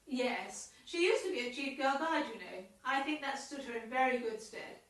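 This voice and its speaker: A brisk, self-important committee chairwoman, played by an amateur actress in a radio comedy, speaking in a highish voice. She is formal and officious, heard from off the mic in a very echoey space. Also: lively intonation, a bit bright. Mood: earnest, gossipy. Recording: very echoey, distant mic, faint noise.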